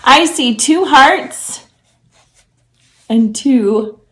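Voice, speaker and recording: Animated, high-voiced woman reading to preschoolers. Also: very slow, reverberant. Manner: encouraging, simple child-directed explaining, asking questions. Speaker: preschool teacher and storyteller. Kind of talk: children's storybook read-aloud.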